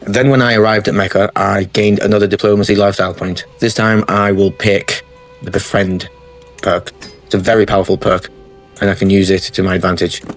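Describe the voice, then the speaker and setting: A man talks through a strategy game campaign in a low, level voice. His tone stays steady and matter-of-fact as he explains each choice, with soft music playing under him. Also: fairly quick. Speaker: gaming channel host. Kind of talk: strategy game playthrough commentary. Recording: faint music bed under the voice.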